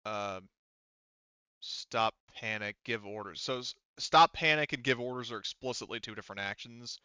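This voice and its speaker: A man talking casually as game master of a tabletop role-playing game, explaining rules haltingly as he checks them, in slightly dull voice-chat audio. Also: mid-range voice, pushed and bright, smooth, no echo.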